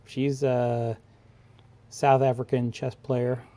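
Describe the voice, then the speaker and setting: A man's voice: mid-range voice, flat delivery, slow, dark tone. A man in casual conversation on an online livestream panel.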